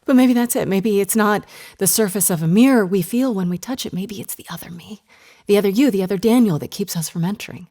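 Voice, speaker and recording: A woman's voice: medium pitch, quick pace, mellow, close to an everyday voice. A woman playing an earnest, strong-willed therapist in an audio drama, speaking articulately and expressively. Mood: excited.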